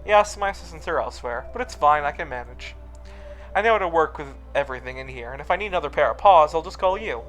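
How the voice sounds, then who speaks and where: A man's voice: on the high side, animated, fast, fairly bright tone, poor audio. A man voicing a cheerful, scholarly male furry alien scientist in a visual novel, speaking politely and precisely. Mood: reassuring. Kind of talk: visual novel character reading. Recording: music under the voice.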